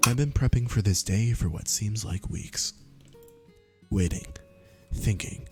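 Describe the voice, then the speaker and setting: A man in his twenties with a strained, tiring voice, reading a visual novel aloud in a slow, deliberate storybook manner with dry self-mocking asides. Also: fairly low pitch, over loud music. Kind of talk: dramatic read-through of game text with asides to friends.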